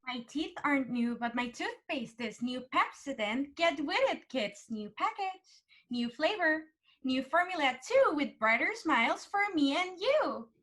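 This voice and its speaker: A woman's voice: high voice, very animated, a little slow, a bit bright, heavily acted. A woman playing a peppy, singsong teenage girl in a vintage radio commercial. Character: perky female teenager in toothpaste jingle advert.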